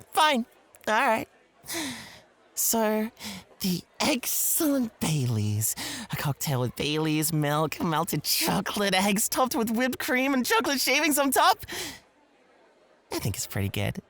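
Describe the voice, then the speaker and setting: A woman's voice: medium pitch, sing-song, slow, pauses often, a bit bright. A woman playing a possessive, coy club host in an ASMR roleplay, teasing and easily flustered, with theatrical phrasing. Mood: coy, sultry.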